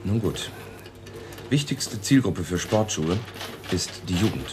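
A man playing a slick, self-assured advertising consultant in a radio drama, pitching in clipped slogans. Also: animated, takes it slowly. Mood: pompous, persuasive. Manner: salesman's pitch in clipped slogans with a pet filler phrase. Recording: music under the voice.

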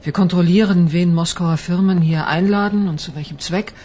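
An actress playing an irritable, reluctant office clerk in a radio crime drama, with a testy manner. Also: female voice, fairly low pitch, steady tone, moderate pace, warm tone, slight background noise, natural voice. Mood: annoyed, wary. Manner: testy, impatient.

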